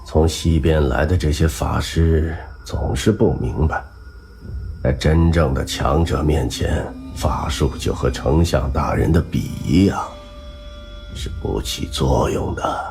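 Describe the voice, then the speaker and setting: An actor playing a pale, calm middle-aged man in a wheelchair who speaks slowly and dismissively in a radio drama. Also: vocal fry, faint music behind, heavily acted. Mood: calmly dismissive. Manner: slow, low, unhurried and dismissive.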